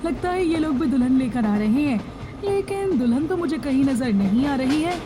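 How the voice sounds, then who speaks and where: A woman voicing a chatty, homely middle-aged mother-in-law in an animated moral story. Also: sits high, normal speed.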